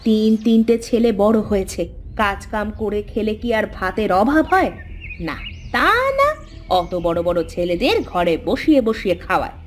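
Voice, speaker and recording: A woman's voice: medium pitch, animated, normal speed, a little hiss or hum, lightly acted. A woman voicing a worried, nagging village mother and housewife in a cartoon fairy tale.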